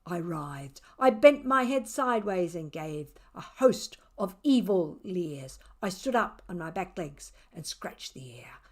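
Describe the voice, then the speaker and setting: A woman voicing a mischievous, sarcastic, self-satisfied male cat who tells his story theatrically, with dramatic pauses. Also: on the low side, sing-song. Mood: boastful, theatrical.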